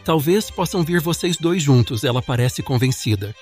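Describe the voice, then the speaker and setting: Man acting João, a smooth, coaxing political rival. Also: fairly high pitch, animated, moderate pace, a little mellow, soft background music, lightly acted. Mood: confident, provoking. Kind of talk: amateur audio drama acting.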